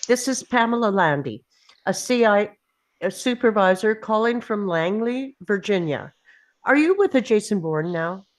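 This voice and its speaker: An actress reads a middle-aged female CIA supervisor at a screenplay table read. She is brisk and commanding, giving clipped, businesslike orders in a medium-pitched voice with steady urgency. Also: close to an everyday voice. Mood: urgent, businesslike. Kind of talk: table read of a film script.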